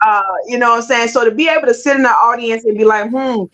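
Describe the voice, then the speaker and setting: A woman's voice: a little high, fairly even intonation, fast, a bit bright. An American woman hosting an interview in a casual, folksy, affirming way over a video call. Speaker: interview host. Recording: dull, lo-fi video call audio.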